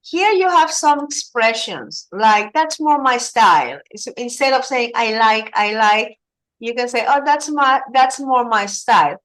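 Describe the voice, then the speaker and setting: A woman's voice: on the high side, expressive, unhurried, a bit bright. A woman teaching English, patiently prompting and correcting her student. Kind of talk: online English lesson.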